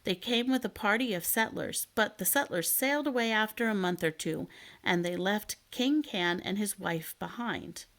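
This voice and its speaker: A woman's voice: medium pitch, normal speed, fairly bright tone, natural voice. A woman reading a children's storybook as a chatty, worried little canary, polite and talkative. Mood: explanatory. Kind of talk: children's storybook read aloud.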